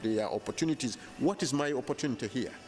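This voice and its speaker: A man in his thirties with a Ugandan accent, answering interview questions in an earnest, measured way, held mic in a hotel lounge. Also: mid-range voice, lively intonation, darkish tone, soft background music. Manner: earnest, measured, frequent restarts. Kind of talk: casual interview. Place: bright hotel lounge indoors. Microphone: handheld lapel mic.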